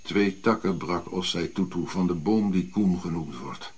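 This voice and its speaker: Bitter, imperious old African prince. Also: male voice, low voice, unhurried, a little mellow. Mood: solemn storytelling. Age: older. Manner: caustic, haughty, formal. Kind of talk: radio drama acting.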